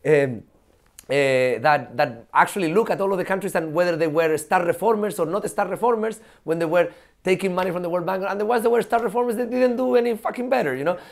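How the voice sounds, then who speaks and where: A high-pitched man, chatting as a podcast guest. He talks in an animated, loose way, full of fillers, laughs and the odd swear word. His voice sits well above the usual male range. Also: quick pace, gravelly. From Chile. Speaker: guest, professor. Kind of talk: casual podcast conversation.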